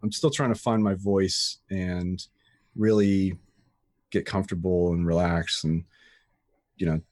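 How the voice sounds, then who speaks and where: A man's voice: low-pitched, steady tone, a little slow, a little mellow, no room sound, studio quality. A man talking casually as an interview guest, rambling with frequent restarts and fillers. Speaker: guest, tutorial creator.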